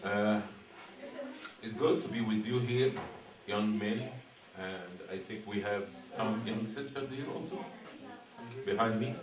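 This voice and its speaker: A man's voice: fairly low pitch, takes it slowly, long pauses, a crowd in the background. Man preaching in an echoey hall. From Egypt. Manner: playful, teasing, asks the audience riddles. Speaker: imam giving a talk. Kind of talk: formal sermon opening, then casual talk with the audience. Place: hall with a live audience. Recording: echoey room, voice from far off.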